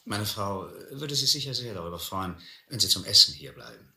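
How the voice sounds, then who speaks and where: An actor playing a vain, dangerous island host in a German radio drama, self-important beneath smooth politeness. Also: male voice, fairly low pitch, very animated, moderate pace, pushed and bright, echoey room, full character voice.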